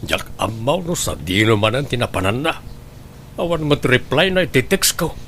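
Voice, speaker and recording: A man's voice: mid-range voice, sing-song, moderate pace, fairly bright tone, a little hiss or hum. A man playing a stern, blunt Filipino family man in an Ilocano radio drama, speaking in a scolding, questioning way. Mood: grumbling.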